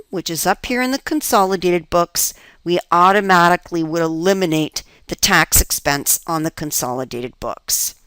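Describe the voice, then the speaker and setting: A woman's voice: on the low side, fairly bright tone, no echo. A woman teaching as an accounting instructor, explaining methodically step by step and often posing questions that she then answers herself. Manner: step-by-step, asks and answers her own questions. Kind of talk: explanatory lecture for an instructional video.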